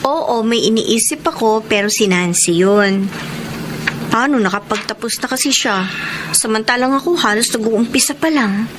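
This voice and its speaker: An actress as an earnest young Filipina, high-voiced. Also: female voice, moderate pace, background noise, lightly acted. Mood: defensive. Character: earnest young woman, dutiful daughter and letter-writer. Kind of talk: Filipino radio drama acting and letter narration. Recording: music bed under radio drama.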